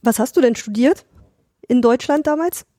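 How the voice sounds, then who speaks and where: A woman's voice: high voice, moderate pace, warm tone, no echo, studio quality. A woman co-hosting a podcast, asking a guest questions in a casual, curious way. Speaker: podcast co-host. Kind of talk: casual interview.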